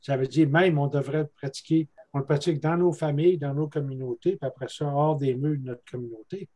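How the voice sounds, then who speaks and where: A man's voice: on the high side, steady tone, a little fast, warm tone. An older man with a Quebec French accent, giving a casual talk as an elder on a video call through earbuds. He rambles and repeats words as he searches for them. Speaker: guest speaker, traditional elder. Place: room at home with bookshelves.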